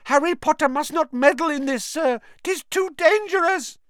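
A man's voice: very high voice, moderate pace, fairly bright tone, dry recording, pitched above the performer's own voice. A man voicing an anxious, servile male house-elf who pleads and keeps calling people sir. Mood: frantic.

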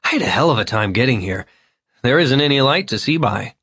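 A man's voice: mid-range voice, animated, fairly quick, a bit bright, slightly rough, no room sound, voice pushed up. A man voicing a drunk, disheveled middle-aged man who rambles, slurs and swings between overly polite and maudlin. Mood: rambling, maudlin. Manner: slurred, wandering, overly polite then maudlin.

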